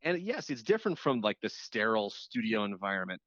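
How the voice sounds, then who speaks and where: A man's voice: on the low side, sing-song, fairly quick, fairly bright tone, no echo. A man who hosts radio, chatting casually as an interview guest and often rephrasing himself as he explains. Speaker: radio show host appearing as guest. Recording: dull, muffled lo-fi line.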